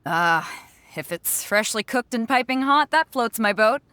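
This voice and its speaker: Brash, animated lady sea captain. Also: fairly high pitch, unhurried, dry recording. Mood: hearty. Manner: brash, swaggering, colloquial. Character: bold, blunt, hearty female sea captain. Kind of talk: video game character acting.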